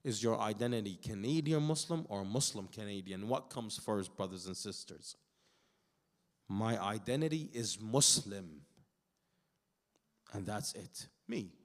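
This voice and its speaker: A man who was born in Iraq and grew up in Canada, delivering a sermon at a Muharram gathering. He recites Arabic verses and translates them, and moves from quiet reflection to loud, emphatic declaration. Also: fairly low pitch, unhurried, long pauses.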